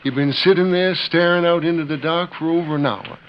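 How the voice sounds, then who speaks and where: A man's voice: fairly high pitch, a little fast, lightly acted. A man playing a weary but firm husband and father in an old radio melodrama. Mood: firm, pained. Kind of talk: 1930s-50s radio melodrama acting. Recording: muffled, hissy old radio recording.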